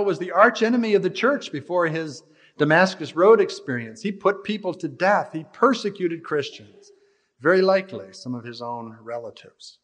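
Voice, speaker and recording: An older American man preaching an expository sermon in a measured, deliberate way, stressing phrases and letting the endings fall away. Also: a little high, fairly bright tone. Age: older, about 60. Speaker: pastor. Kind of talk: expository sermon with scripture readings.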